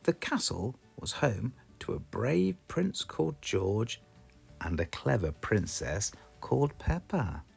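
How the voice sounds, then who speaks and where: A man's voice: medium pitch, very animated, takes it slowly, vocal fry, darkish tone, husky, soft background music, heavily acted. A man with a British accent voicing a prim, patient mother pig in a children's storybook reading. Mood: gentle. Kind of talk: children's storybook read-aloud.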